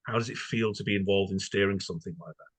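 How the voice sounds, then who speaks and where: A man's voice: deep voice, steady tone, quick pace, husky, no room sound. A man hosting a conversational interview, hesitant and roundabout, often repeating words as he forms a question. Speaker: podcast host, interviewer.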